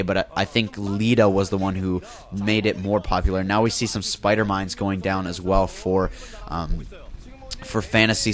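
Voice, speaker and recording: A man's voice: fairly low pitch, fairly bright tone. A young man with a flu, sounding nasal and congested, giving loose play-by-play commentary on a video game match with frequent fillers and self-corrections. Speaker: esports caster.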